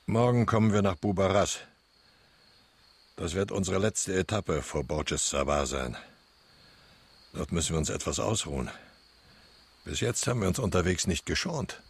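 Deep, gravelly male village traveler. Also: lively intonation, normal speed, long pauses, full character voice. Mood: matter-of-fact. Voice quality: gravelly, husky. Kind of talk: radio play acting.

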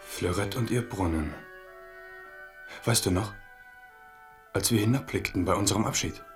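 A man's voice: fairly low pitch, moderate pace, music in the background, natural voice. An actor playing an amorous young French king in a German radio play, playful and teasing as he woos. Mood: wistful. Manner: wooing, teasing.

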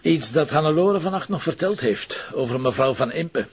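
An actor playing a wry, gruff police commissioner in a TV crime drama, with a Flemish accent and a dry, sardonic way of talking. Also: male voice, fairly high pitch, animated, moderate pace, dull audio. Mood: wry, confiding. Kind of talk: naturalistic TV drama dialogue.

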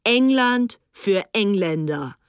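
A woman's voice: mid-range voice, animated, slow pace, smooth, no room sound, studio quality. An actress playing a haughty, fanatical young English aristocrat woman in a radio play, brazen and strident in manner. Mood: zealous, declaiming. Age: young adult.

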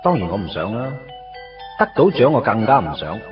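A wry young Hong Kong man in a Cantonese radio drama, self-mocking and conversational. His intonation is lively and wide-ranging. Music plays behind his slightly dull-sounding voice. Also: on the low side, brisk. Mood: wry, wistful. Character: wry, put-upon young man recalling his first love. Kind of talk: radio drama narration and dialogue.

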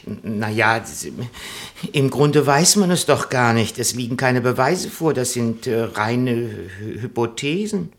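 Male actor as timid, lovesick deserted husband: mid-pitched, deferential, hesitant and pleading, vintage radio drama. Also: expressive, a little slow, lightly acted. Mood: timid protest. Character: meek, lovesick abandoned husband, pleading and sentimental. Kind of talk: 1960s German radio play acting.

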